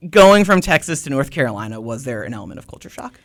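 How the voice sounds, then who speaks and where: A man's voice: medium pitch, sing-song, fast. A man hosting a podcast, chatting casually and joking with an opinionated, rambling manner and many "like"s. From Boston area. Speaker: podcast host. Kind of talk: casual interview chat.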